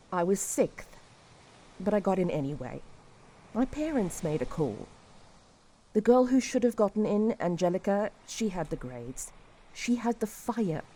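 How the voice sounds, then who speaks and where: Audio drama casting: actress as a despairing refugee woman, dark mellow voice, medium pitch, halting and confessional, weighed down by guilt. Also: a little hiss or hum, natural voice, rough recording. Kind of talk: dramatic audio fiction acting.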